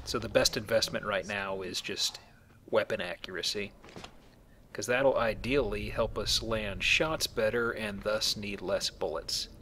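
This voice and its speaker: A man's voice: fairly low pitch, a little slow, pushed and bright, a little hiss or hum. A man hosting a let's play with casual, explanatory game commentary and joking asides.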